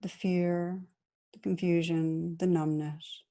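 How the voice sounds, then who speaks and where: A woman meditation teacher guiding a practice in a gentle, soothing, unhurried manner with long pauses between phrases. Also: lowish voice, level tone. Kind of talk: guided meditation.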